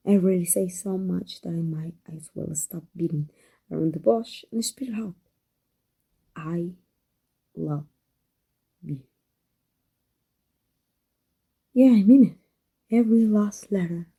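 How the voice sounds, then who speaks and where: Woman acting a husky, dark-voiced tender villainess. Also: lowish voice, exaggerated voice. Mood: aching, tender. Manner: halting, breathy, emotional delivery. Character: tender, guarded villain woman confessing love to her rival. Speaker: voice actor. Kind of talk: intimate ASMR roleplay acting.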